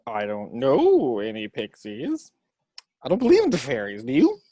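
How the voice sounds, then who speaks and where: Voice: mid-range voice, huge pitch swings, normal speed, mellow, husky, no echo. An actor playing a drunk, weary, disbelieving grown man, hesitant and sardonic, in a script read-through. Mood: dazed, dismissive. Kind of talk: hobby table read acting.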